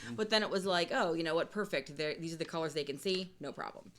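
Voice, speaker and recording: A woman with a low-pitched voice talks as a game designer being interviewed. She explains a board game in a casual, conversational way. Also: fast talker, a bit bright.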